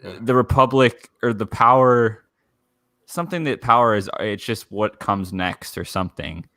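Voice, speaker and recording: A man co-hosting a fan podcast, talking casually in a halting, rambling way as he searches for words. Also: lowish voice, sharp tone, no echo. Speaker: podcast co-host.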